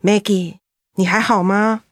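A woman's voice: mid-range voice, fairly even intonation, a little slow, dry recording, clean studio sound, close to an everyday voice. An actress playing a sensible, motherly senior colleague in a Mandarin radio drama, scolding yet caring, with a Taiwanese accent. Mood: tender.

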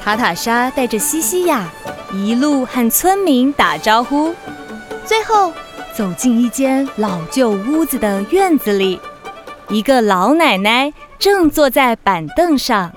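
A woman's voice: on the high side, very animated, slow pace. A woman narrator reading a scripted children's story. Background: music.

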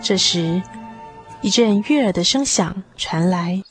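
Expressive woman narrator over music. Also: medium pitch, slightly rough. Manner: expressive, measured literary delivery. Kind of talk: scripted radio drama storytelling. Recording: music behind the voice.

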